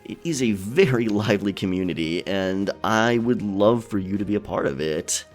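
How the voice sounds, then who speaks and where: A man playing a friendly, caring pirate captain in a soft, chatty, encouraging ASMR check-in. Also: lowish voice, lively intonation, moderate pace, faint music behind, close to an everyday voice. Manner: encouraging, chatty, hesitant, chuckling often. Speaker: ASMR storyteller and streamer.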